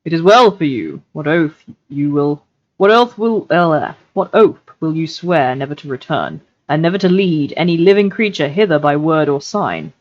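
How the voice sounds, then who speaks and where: A woman's voice: sits low, expressive, moderate pace, highs cut off. A woman voicing a stern, noble lord in a storybook reading, formal and grave as he questions and passes judgment. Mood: solemn. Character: stern, just, noble lord of men, measured judge. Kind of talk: audiobook-style character reading.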